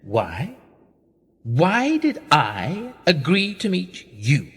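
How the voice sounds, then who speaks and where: A man's voice: fairly high pitch, huge pitch swings, drawn-out words, a bit bright, breathy edge, full character voice. Man playing swooping, pompous aristocrat in reverb. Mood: condescending. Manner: urbane, pompous, condescending. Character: smooth, patronising older British aristocratic power broker, knighted. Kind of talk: radio play acting. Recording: long reverberant hall echo.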